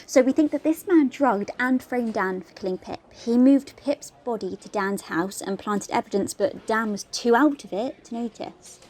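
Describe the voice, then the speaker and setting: A woman's voice: fairly high pitch, normal speed, hardly any pauses, a little mellow, natural voice. A woman playing an earnest police investigation assistant in an audio drama, reporting in a conversational way. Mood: earnest, speculative.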